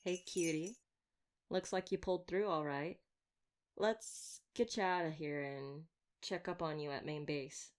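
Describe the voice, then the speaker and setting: Wry female vampire hunter, voiced by an actress, slightly low voice, glib flirty banter, caring underneath, intimate audio roleplay. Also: a little slow. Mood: reassuring, wry.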